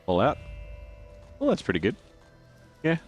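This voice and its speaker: A man with a croaky, flu-hoarse voice chatting casually while streaming a game and greeting viewers. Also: mid-range voice, wildly swooping pitch, measured pace, faint music behind. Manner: rambling, reads out chat and greets viewers. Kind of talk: casual gameplay stream chat.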